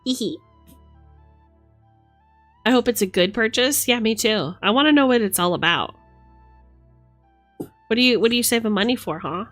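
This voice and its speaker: A woman's voice: a little high, moderate pace, long pauses, a bit bright, faint music behind. A woman livestreamer chatting casually and affectionately, thinking aloud in a playful way as she reads tarot cards.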